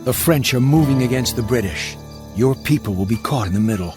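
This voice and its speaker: An actor playing a gruff, wary male leader of frontier mercenaries in a video game cutscene. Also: medium pitch, lively intonation, a little fast, close to an everyday voice. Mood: guarded, resolute. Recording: faint music behind.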